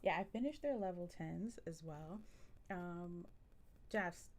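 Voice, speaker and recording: Soft-voiced woman with a gravelly edge. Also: lowish voice, slow. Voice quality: generally soft voice. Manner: relaxed, many ums, chatty. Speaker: livestreamer. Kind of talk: casual live-stream chat with viewers.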